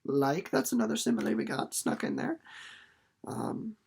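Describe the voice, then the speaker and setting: A woman's voice: on the high side, big pitch swings, moderate pace, vocal fry, a little mellow, breathy edge. A woman reading and informally discussing a children's novel, like a teacher reading aloud. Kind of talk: storybook narration and informal commentary.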